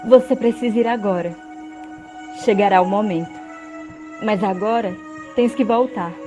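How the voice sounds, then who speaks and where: A woman with a Brazilian accent playing a gentle, forgiving dead queen in love in a radio drama. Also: mid-range voice, moderate pace, over music, lightly acted. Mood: tender, wistful.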